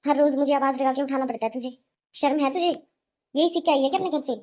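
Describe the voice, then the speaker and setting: Lady doing a naggy cartoon mother-in-law, high mellow voice, accusing and sarcastic. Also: fast talker, natural voice. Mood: scolding, irritated. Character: scolding, nagging middle-aged mother-in-law. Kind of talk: melodramatic Hindi cartoon voice acting.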